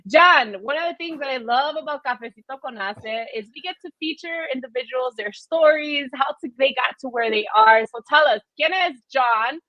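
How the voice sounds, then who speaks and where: A woman with a high voice hosts a livestream in a casual, upbeat way. She mixes Spanish phrases into English as she engages her online audience. The sound is dry and somewhat dull, like lo-fi call audio. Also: normal speed, hardly any pauses, dry recording. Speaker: host of a livestream chat show.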